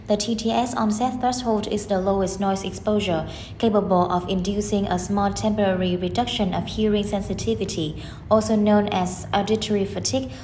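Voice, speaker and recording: A woman's voice: medium pitch, steady tone, a little fast, warm tone, a little hiss or hum. A woman reading scripted sample passages aloud in a careful, formal way, like an exam trainer giving a demonstration.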